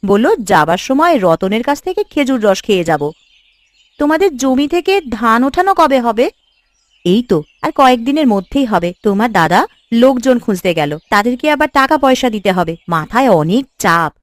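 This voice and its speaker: Woman acting a high, animated village housewife. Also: fairly quick, dry recording. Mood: chatty. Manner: expressive, nagging, gossipy. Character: chatty, fretful, bossy village housewife. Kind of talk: cartoon voice acting.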